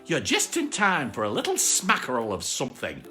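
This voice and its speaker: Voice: mid-range voice, wildly swooping pitch, normal speed, bright, forceful tone, faint music behind. A storyteller voicing a cheerful, muddled toy bear, earnest and singsong, from a children's storybook.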